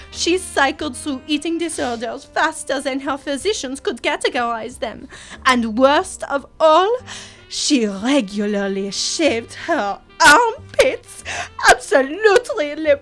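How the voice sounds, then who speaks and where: High-voiced melodramatic lovesick Frenchwoman, played by a woman. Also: fairly bright tone, full character voice. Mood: disgusted fascination. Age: young adult. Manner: florid, theatrical storytelling with emotional outbursts. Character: melodramatic lovesick French secretary, grandly tragic and mournful. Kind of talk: comic radio serial acting. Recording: music behind the voice.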